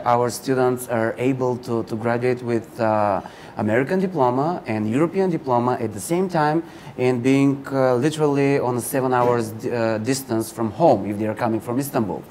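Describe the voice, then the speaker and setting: A man from Bulgaria with a Bulgarian accent, giving informative interview answers as a university recruiter, pausing mid-phrase. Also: mid-range voice, darkish tone. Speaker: university recruiter and admissions counselor, interview guest. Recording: clear background ambient noise.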